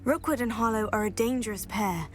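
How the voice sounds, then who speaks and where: A woman's voice: a little high, brisk, close to an everyday voice. An actress playing a polite, composed young female student in a video game. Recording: game audio with faint music.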